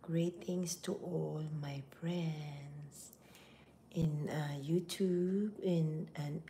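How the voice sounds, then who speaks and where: A middle-aged woman with a Filipino accent, hoarse from laryngitis, hosting a casual live stream from home with slow, half-whispered greetings. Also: deep voice, steady tone, dark tone, echoey room, a little hiss or hum. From Philippines. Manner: breathy, half-whispered, slow with frequent pauses and throat clearing. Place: small home office room indoors.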